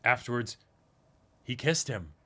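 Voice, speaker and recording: A man's voice: mid-range voice, expressive, measured pace, bright, forceful tone. A man co-hosting a casual reaction discussion, agreeable and conversational, chiming in as he goes. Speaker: reaction show co-host.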